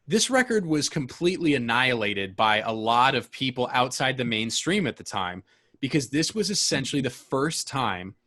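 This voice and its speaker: A man's voice: mid-range voice, a bit bright. A man hosting a podcast about albums, chatting casually and enthusiastically, often restarting his sentences. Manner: rambling, self-correcting, enthusiastic. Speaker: podcast host.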